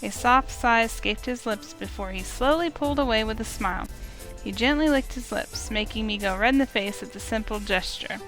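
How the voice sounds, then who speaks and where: A woman reading a visual novel aloud as an expressive first-person story, with music underneath. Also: on the high side, moderate pace, poor audio.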